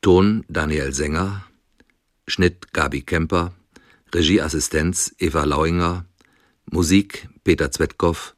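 A man reading radio drama credits as an announcer, in a formal, deliberate manner. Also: sits low, fairly even intonation, no echo, studio quality.

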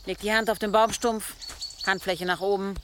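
Radio drama warrior, actress, no-nonsense young woman, reluctant, deadpan edge, noisy outdoor setting. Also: medium pitch, moderate pace, close to an everyday voice. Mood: wry, impatient. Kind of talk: German radio drama acting. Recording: clear birds and outdoor sounds behind.

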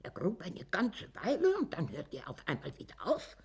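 A woman's voice: lowish voice, huge pitch swings, moderate pace, creaky, a little mellow, breathy edge, heavily acted. An actress playing a nosy old village woman in a German radio drama, rambling with suspense and self-importance. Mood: mysterious, rambling. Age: older.

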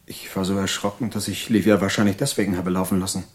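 A man plays a troubled asylum inmate in a radio drama. He recounts his past in a low voice, as a dramatic, unsettled monologue. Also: little pitch movement, fast talker, close to an everyday voice. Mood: shaken, entranced.

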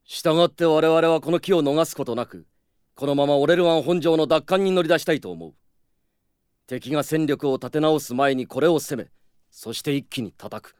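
An actor playing a stern knight commander who speaks formally and with authority in a radio drama. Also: male voice, on the high side, normal speed, darkish tone, clean tone, dry recording, natural voice. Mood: commanding. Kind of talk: Japanese radio drama acting. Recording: dry studio with background music.

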